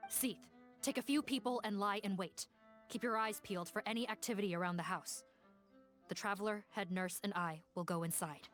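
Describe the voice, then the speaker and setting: A woman playing a serious female police officer in command in an anime game, measured and businesslike. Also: medium pitch, a bit bright, faint music behind, lightly acted. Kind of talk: English-dubbed anime game voice acting.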